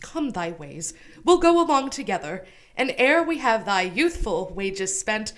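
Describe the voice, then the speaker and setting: A woman playing a proud, tender young gentleman in a Shakespearean radio play, speaking verse earnestly. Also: medium pitch, big pitch swings, a little slow. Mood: tender, grateful.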